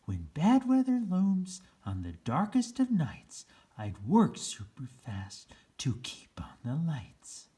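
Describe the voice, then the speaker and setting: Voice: medium pitch, wildly swooping pitch, slow pace, hardly any pauses, mellow. Çok değişken tonlamalı, ağır tempolu çocuk kitabı okuyucusu. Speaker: children's story reader. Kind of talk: children's picture book read-aloud.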